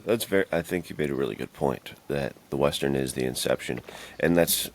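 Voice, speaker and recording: A man's voice: extremely low pitch, fairly quick, slight background noise. A man co-hosting a podcast in casual, informal chat with plenty of slang. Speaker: podcast co-host.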